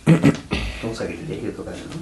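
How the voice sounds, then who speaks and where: Hushed, awed man in an echoey room. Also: lowish voice, lively intonation, normal speed. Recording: distant, roomy, faint background noise.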